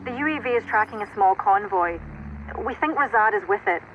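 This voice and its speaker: An actress playing an efficient military operations officer, giving precise, businesslike briefings in a video game. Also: female voice, mid-range voice, animated, a little fast, natural voice. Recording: dull radio-like sound, noisy background.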